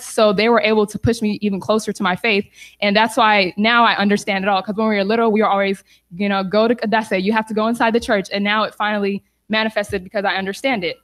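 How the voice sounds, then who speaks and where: A woman's voice: mid-range voice, a bit bright. Casual young woman thanking her church. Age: young adult. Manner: casual, grateful. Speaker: graduate speaker. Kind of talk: thank-you speech at church. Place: church hall.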